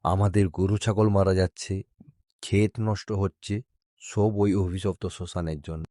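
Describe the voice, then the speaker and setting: A man acts out a blunt, doubting villager in a horror cartoon. The voice is low and dark, recorded dry, with a worried, gruff temper. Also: fairly even intonation, a little slow, no room sound. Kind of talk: cartoon horror story dialogue.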